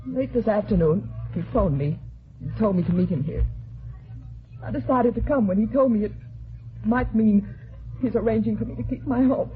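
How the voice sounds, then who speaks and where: A woman's voice: on the high side, moderate pace, long pauses, a little hiss or hum, natural voice. A woman playing a frightened, pleading widow who stammers anxiously in a 1950s radio melodrama. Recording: tinny, narrow vintage radio recording.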